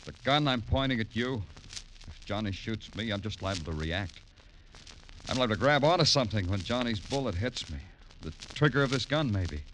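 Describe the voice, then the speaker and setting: An actor playing a hardened, wry and cool New York homicide detective in vintage radio noir. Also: male voice, lowish voice, animated, fairly quick, a bit bright, slight background noise, low-quality recording. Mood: calm, calculating. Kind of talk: 1940s-50s radio noir acting and narration. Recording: vintage radio recording with prominent music.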